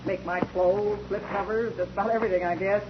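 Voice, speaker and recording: A woman's voice: medium pitch, fairly even intonation, brisk, lightly acted. An actress playing a homely, practical widowed landlady, motherly but firm, in 1940s radio drama style. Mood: homey, friendly. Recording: dull, noisy old radio recording.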